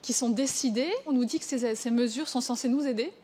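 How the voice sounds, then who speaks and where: A woman's voice: fairly high pitch, a little fast, warm tone, slightly rough. A woman in a street interview speaking in a frustrated way.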